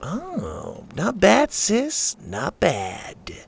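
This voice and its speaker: Cheeky young grandson, voiced by a man, pitched up and animated, wry storybook wisecracker. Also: drawn-out words, creaky, slightly rough, dry recording, full character voice. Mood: wry approval. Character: bold, wisecracking young boy, a twin grandson.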